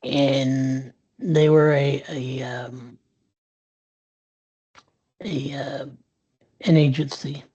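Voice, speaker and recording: A man's voice: mid-range voice, level tone, extremely slow, long pauses, warm tone, slightly rough, lo-fi sound. A man speaking as an interview guest, telling a candid story with frequent restarts and fillers over a video call. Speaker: interview guest, sales professional.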